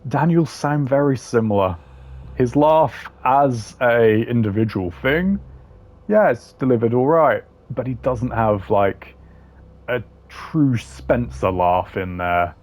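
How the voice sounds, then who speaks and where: A man's voice: mid-range voice, animated, slow, a little mellow. A man giving casual, slangy tier-list commentary in a blunt, opinionated way. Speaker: commentator ranking game voice lines.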